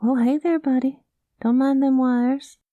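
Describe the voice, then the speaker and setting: A woman's voice: sits high, fairly even intonation, measured pace, no room sound, phone-quality sound. Woman playing a folksy space cowgirl pilot. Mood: easygoing. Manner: folksy drawling cowboy talk, calls listener partner; gentle and soothing. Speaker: ASMR roleplay performer. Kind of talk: ASMR roleplay.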